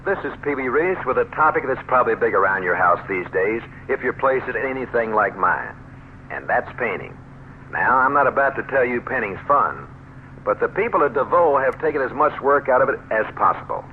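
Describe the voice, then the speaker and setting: A man's voice: medium pitch, very animated, fairly quick. An American man delivers a folksy, scripted radio advertisement with widely varied intonation. His chatty sales patter comes through a narrow 1970s radio recording with a little noise. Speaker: commercial pitchman.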